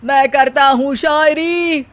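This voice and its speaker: A woman's voice: high-pitched, steady tone, takes it slowly, fairly bright tone, voice pushed up. A woman voicing a lazy, dramatic young husband who speaks in rhymes in a comic story. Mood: aggrieved, playful. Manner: theatrical rhyming delivery.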